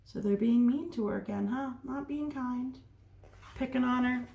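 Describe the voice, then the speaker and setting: A woman's voice: high voice, normal speed, creaky, mellow, a little hiss or hum. A woman reading a picture book aloud as a teacher, expressively and with dramatic emphasis, stopping to speak directly to the children. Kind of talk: animated children's read-aloud with asides and questions.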